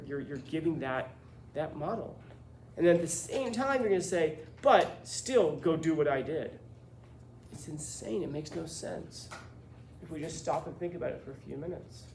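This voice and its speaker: A man's voice: fairly high pitch, gravelly, darkish tone. A man teaching a class, lecturing students bluntly with lots of rhetorical questions. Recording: echoey classroom with faint room noise.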